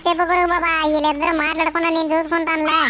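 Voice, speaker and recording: An actor voicing a small, cheeky, naive male animal sidekick in a cartoon, squeaky and childlike, muddled, with a north coastal Andhra Telugu accent. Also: little pitch movement, racing, very noisy. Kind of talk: comic cartoon dialogue.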